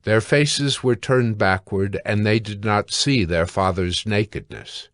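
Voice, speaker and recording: A man narrating scripture from a script in a measured, sober manner. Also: lowish voice, no echo, studio quality.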